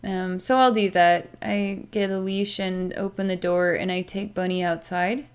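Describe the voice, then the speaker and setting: A middle-aged woman thinking aloud as an animal communicator, speaking haltingly and meditatively with pauses. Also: lowish voice, steady tone, clean tone. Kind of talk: slow narration of a psychic session with pets, thinking aloud. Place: small plain room indoors.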